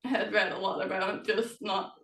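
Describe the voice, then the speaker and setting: A woman chatting casually as a host and author, speaking in halting, broken phrases with pauses. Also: mid-range voice, fairly even intonation, a bit bright. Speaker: host, author of historical novels. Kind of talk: casual chat about writing. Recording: roomy echo, distant from the mic.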